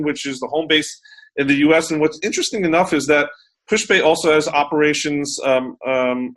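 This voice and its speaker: A man's voice: fairly high pitch, fairly bright tone. A man hosting a podcast interview in a casual, chatty way, with many ums and uhs. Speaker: podcast host.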